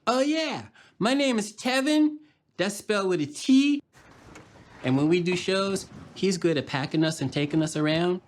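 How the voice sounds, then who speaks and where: A man's voice: high-pitched, expressive, normal speed, slight background noise, pitched above the performer's own voice. A man puts on a chatty puppet character with a raised voice. The talk is folksy and conversational, with a streak of good-natured complaint. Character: chatty, grumbling young puppet named Tevin.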